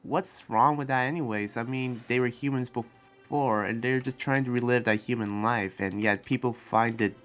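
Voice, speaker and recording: A man playing a kind, idealistic young demon slayer and researcher in an improvised roleplay, speaking earnestly and haltingly. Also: mid-range voice, fairly even intonation, fairly bright tone, faint music behind, close to an everyday voice. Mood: worried, sincere. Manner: hesitant, earnest, halting with restarts.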